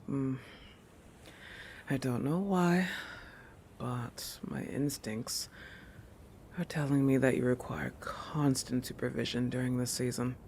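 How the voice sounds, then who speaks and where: A man voicing a possessive, oblivious shark protector in an intimate roleplay audio, halting and musing, often dropping into a breathy whisper. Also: mid-range voice, fairly even intonation, darkish tone, slight background noise. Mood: protective, clinical pretext. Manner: halting, musing, with thoughtful hums.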